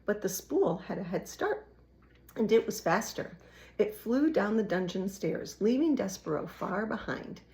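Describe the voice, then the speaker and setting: A woman reading a children's storybook aloud in an expressive way. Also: mid-range voice, unhurried, creaky. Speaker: children's book reader.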